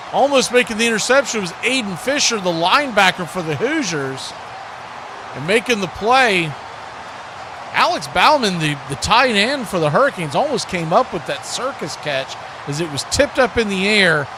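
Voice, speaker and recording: A man doing live football play-by-play as an announcer, speaking in clipped phrases that build on big plays. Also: sits high. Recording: clearly noisy live stream.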